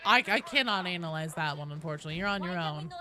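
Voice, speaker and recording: A woman's voice: on the low side, animated, fast, bright, forceful tone, faint music behind. A woman live streamer chatting casually and wryly with her viewers while she plays a game. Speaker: live streamer hosting gameplay.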